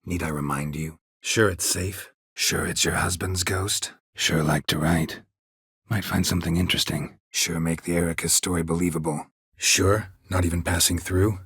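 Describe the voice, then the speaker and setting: A man voicing a gruff, weary monster hunter in a fantasy video game, with a husky rasp and terse, deadpan, sardonic sentences. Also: deep voice, normal speed, dry recording, full character voice. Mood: dry, guarded. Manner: terse, clipped, deadpan sentences with dry sarcasm.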